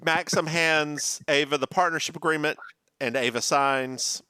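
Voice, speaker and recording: Male podcast co-host, bright tone, campy recap chatter, dry close sound. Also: fairly high pitch, measured pace, clear, resonant tone, dry recording. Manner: animated, campy, mimics characters. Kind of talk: casual chat recapping a TV episode.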